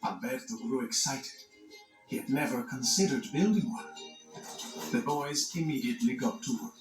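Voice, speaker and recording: A man reading scripted children's read-along narration in an expressive, dramatic storytelling manner. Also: medium pitch, moderate pace. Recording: echoey narration over music. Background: music.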